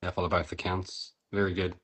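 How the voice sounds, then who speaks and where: Deep-voiced man, measured and appreciative. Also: flat delivery, fairly bright tone. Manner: measured, appreciative, pauses between phrases. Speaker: poetry reading co-host. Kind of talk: casual commentary and poetry reading.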